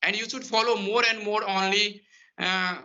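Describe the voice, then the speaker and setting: Indian male English tutor, earnest and repetitive; very bright, high voice; lo-fi audio. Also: fairly even intonation, normal speed. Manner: earnest, repetitive, broken phrasing. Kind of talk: conversation practice advice. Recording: dull lo-fi sound.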